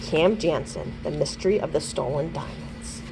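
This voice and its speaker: A woman reading a children's chapter book aloud in an expressive storytelling manner, like a librarian at storytime. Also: low voice, normal speed, gravelly, noisy background.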